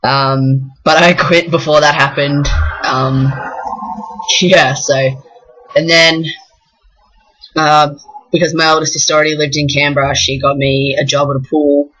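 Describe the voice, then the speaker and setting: A young Australian man from the south coast of New South Wales, talking frankly and in a rambling way in a personal vlog, with many pauses. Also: fairly high pitch, flat delivery, sharp tone, a little hiss or hum, low-quality recording. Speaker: vlogger. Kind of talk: casual personal vlog talk.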